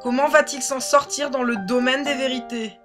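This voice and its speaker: Lady doing reality show voiceover, kinda high voice, music under it. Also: little pitch movement, moderate pace. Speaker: narrator of a parody reality show. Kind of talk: scripted TV voice-over narration.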